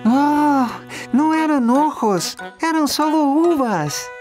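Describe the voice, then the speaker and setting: High, very slow-talking young cartoon kid. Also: female voice, lively intonation, over music. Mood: mildly surprised. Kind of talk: children's cartoon dub.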